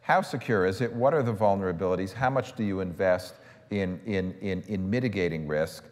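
Low-voiced man, halting, in a hall. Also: a little mellow. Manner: halting, repeats words while thinking. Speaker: panelist. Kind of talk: panel discussion. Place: large auditorium stage. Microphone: lapel mic.

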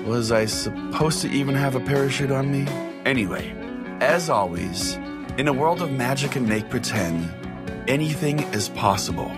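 A man's voice: medium pitch, animated, normal speed. Male podcast host, mock-pompous, self-important, comedic call-in show, music playing behind.

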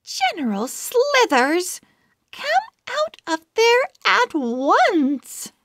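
A woman voicing an earnest, fretful young owl schoolgirl from a storybook, speaking slowly and dramatically. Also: very high-pitched, wildly swooping pitch, a bit bright, no room sound, studio quality, voice pushed up, heavily acted. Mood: scolding.

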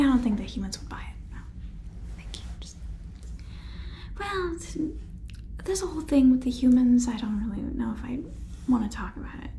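Woman playing a soft, whispery scheming businesswoman. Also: on the high side, a little hiss or hum, close to an everyday voice. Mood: evasive, then boastful. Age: young adult. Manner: chatty, stammering, salesy. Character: brisk, scheming alien-farm businesswoman boss. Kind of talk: ASMR roleplay sitcom, whispered and softly spoken.

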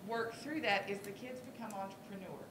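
Echoey middle-aged woman teacher, rumbling background. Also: a little fast. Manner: explanatory, conversational. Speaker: elementary school teacher. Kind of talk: informal presentation to a school board. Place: school board meeting room. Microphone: podium microphone, speaking through a face mask. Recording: echoey room with clear low rumble.

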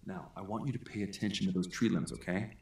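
Man acting a gravelly, earnest squatch hunter. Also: deep voice, fast talker, darkish tone, reverberant. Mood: earnest, instructive. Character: earnest male squatch hunter guiding a companion through woods. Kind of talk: audio drama acting.

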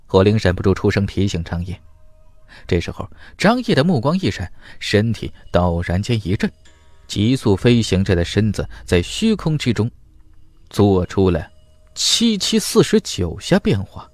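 A man's voice: on the low side, very animated, moderate pace, darkish tone, no room sound. A man reading dramatic scripted fantasy fiction as an audiobook narrator.

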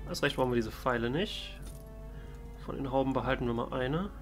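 A man's voice: medium pitch, a little fast, over music. A man doing casual, in-character let's play commentary, musing and thinking aloud. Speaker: let's player.

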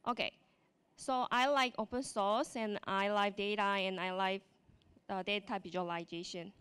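A woman with a Korean accent gives a conference talk in English, her second language. Her voice is smooth and clear, at a middle pitch. She speaks in short halting phrases with frequent fillers and restarts, into a handheld mic on a large stage. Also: fairly even intonation, a bit bright. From South Korea.

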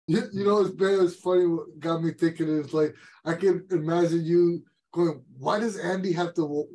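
A man with a somewhat high voice hosts a casual interview. He talks conversationally to his guests over a video call from indoors. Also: fairly even intonation, normal speed, mellow. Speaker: interview host.

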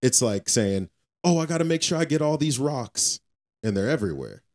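A man's voice: mid-range voice, big pitch swings, normal speed, darkish tone. An American man co-hosting a podcast, speaking casually with slang and repeating phrases for emphasis. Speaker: podcast co-host. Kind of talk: casual conversational podcast talk.

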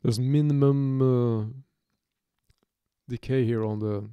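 A man in his thirties from Norway with a Norwegian accent, giving an unscripted music software tutorial from his home studio, talking haltingly with many restarts and fillers. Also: mid-range voice, steady tone, clean tone, dry recording, dull audio. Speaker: music producer demonstrating software.

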